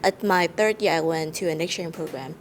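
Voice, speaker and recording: A woman's voice: lowish voice, brisk, slight background noise. A woman in her late twenties from Vietnam with a Vietnamese accent, giving casual interview answers as a student guest.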